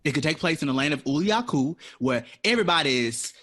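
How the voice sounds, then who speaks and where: An actor in an audio drama playing a witty, dreamy jazz composer who talks with playful, dramatic flourishes. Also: male voice, fairly high pitch, expressive, fast talker, pushed and bright. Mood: determined, dreamy. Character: witty, dreamy, theatrical male jazz composer, eager for independence.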